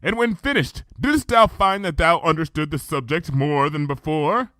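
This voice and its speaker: A man's voice: a little high, normal speed, pushed and bright, dry recording, studio quality, voice pushed up. A man voicing a regal, archaic-speaking female moon princess pony in a fan fiction reading, with formal thee-and-thou speech. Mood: solemn.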